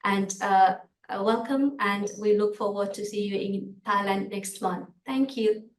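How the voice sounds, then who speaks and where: A woman from Nepal introduces herself on a video call in an earnest, formal way. Her voice sits at a middling pitch and sounds echoey and set back from the microphone. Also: unhurried, distant mic. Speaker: course coordinator.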